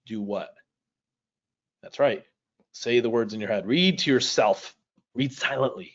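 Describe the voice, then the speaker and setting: Dull-sounding male online instructor, native Polish speaker. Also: mid-range voice. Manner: conversational, addresses viewers directly with questions. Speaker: speed reading instructor. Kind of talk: livestream lesson, casual explaining. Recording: dull, lo-fi livestream audio.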